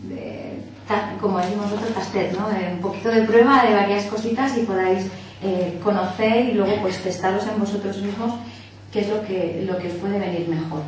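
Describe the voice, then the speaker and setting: A woman's voice: mid-range voice, fairly even intonation, normal speed, a little mellow. A woman, explaining to a small workshop group and checking in often to make sure everyone follows. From Barcelona area, Spain. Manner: explanatory, checks in often with "¿vale?", demonstrating with hands. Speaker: workshop instructor. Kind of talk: informal teaching talk to a small group. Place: small indoor meeting room. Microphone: far camera. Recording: echoey room from far off, faint hiss.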